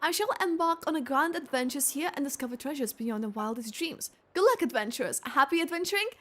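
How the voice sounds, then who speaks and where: A woman's voice: high voice, expressive, fast. A woman voicing a courteous, eager guild receptionist from a fantasy game, in a formal, polite manner. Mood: polite, chirpy.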